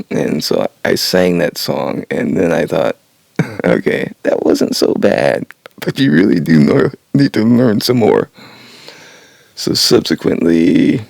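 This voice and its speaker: A man in his sixties talking about learning to sing in his retirement, raspy and a little congested, halting with many pauses and small laughs. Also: low voice, darkish tone, no room sound. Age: 60s. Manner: halting, many uhs and restarts, laughs to himself. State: nasal congestion. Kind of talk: casual rambling monologue. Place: home, early morning.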